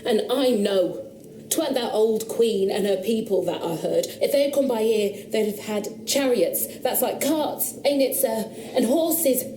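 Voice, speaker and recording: Actress doing a scared rustic young woman in a radio play, slow halting talk, echoey room with noise. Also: a little high, dark tone, lightly acted. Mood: defiant. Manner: halting, hesitant, rustic speech. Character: frightened young country woman, insistent witness. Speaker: witness questioned about noises. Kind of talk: radio drama acting.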